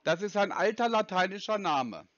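Scam-baiter, male, dry and stubborn, lively pitch, muffled phone audio. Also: moderate pace. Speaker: scam-baiter taking a call. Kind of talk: phone prank call.

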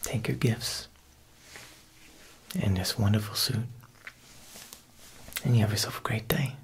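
Whispering, creaky mob boss played by a man. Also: lowish voice, fairly even intonation. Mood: politely menacing. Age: young adult. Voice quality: breathy whisper with creaky edges. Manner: slow, deliberate, coaxing with veiled threat. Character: smooth, menacing Italian mob boss tailor, male. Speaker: ASMR performer. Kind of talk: whispered ASMR roleplay.

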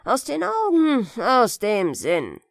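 A woman's voice: fairly high pitch, huge pitch swings, slow pace, creaky, no echo, studio quality, full character voice. A woman voicing a callous, scornful father in a fairy tale, speaking emphatically. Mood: scornful indifference. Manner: scornful, proverb-laden, emphatic. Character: callous, gruff father dismissing his wandering sons.

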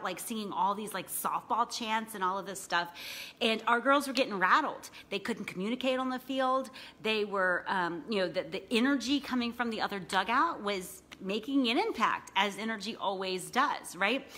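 A woman's voice: medium pitch, pushed and bright. A woman in her thirties talking casually to a phone camera from her couch at home, chatty and full of "right?" and "you know". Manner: chatty, many fillers and "right?" tags. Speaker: small business coach. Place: living room at home, seated on a couch. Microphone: phone camera at arm's length.

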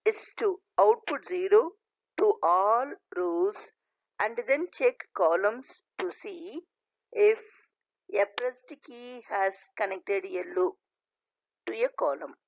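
A woman lecturer reading a scripted slide lesson aloud in a deliberate, halting way, in short phrases. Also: mid-range voice, no echo. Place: voice-over recorded over slides. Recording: dull, lo-fi voice recording.

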